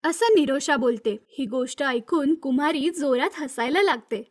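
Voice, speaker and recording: A woman's voice: high voice, moderate pace. A woman reading scripted narration for a children's moral story.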